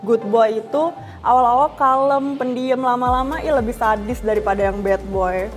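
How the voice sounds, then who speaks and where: A woman's voice: on the high side, fairly even intonation, normal speed, faint music behind. A woman in her mid twenties answering candidly on a dating show. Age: 20s. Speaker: contestant.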